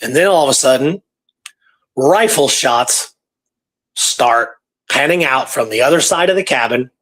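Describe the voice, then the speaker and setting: A man's voice: medium pitch, expressive, measured pace, fairly bright tone. Man telling a story in casual talk. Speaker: podcast host telling a true historical story.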